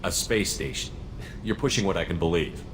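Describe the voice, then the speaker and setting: An actor playing a resolute military commander in a video game, even-tempered and plain-spoken. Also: male voice, low-pitched, brisk. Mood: composed. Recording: noisy game ambience.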